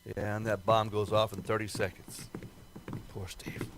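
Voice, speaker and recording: A man's voice: fairly low pitch, flat delivery, a little slow, fairly bright tone. A man acting an earnest government project man in an amateur radio play. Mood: weary, grim. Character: Hank, earnest government project man, urgent then grimly resigned.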